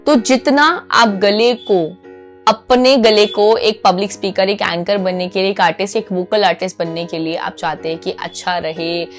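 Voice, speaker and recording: A woman's voice: medium pitch, fairly even intonation, moderate pace, soft background music. A woman with an Indian accent gives informal instruction to viewers, emphatic, repeating key phrases, checking in often and switching between two languages. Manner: mixes Hindi with English phrases. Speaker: anchor giving voice coaching.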